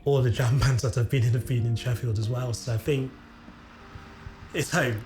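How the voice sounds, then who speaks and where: A man in his forties with a mid-pitched voice talks in a relaxed, easygoing way about making music. Clear music plays underneath. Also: normal speed. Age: 40s. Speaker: band singer. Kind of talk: documentary interview. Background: music bed.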